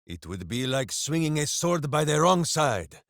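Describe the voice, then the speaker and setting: A big, simple-souled heroic berserker from a fantasy video game. He is bombastic and good-hearted, with slow, deliberate phrasing that rises and falls dramatically. The recording is close and dry. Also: fairly high pitch, very animated, a bit bright, dry recording, heavily acted. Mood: gruff, earnest. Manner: bombastic, earnest, talks of himself in third person.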